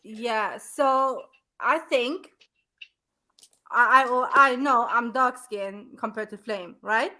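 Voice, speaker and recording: Brief: woman panelist, bright high voice, drawn-out unhurried delivery, fends off teasing with laughing denials on a video call. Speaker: panelist on a live call-in show. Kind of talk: casual group chat.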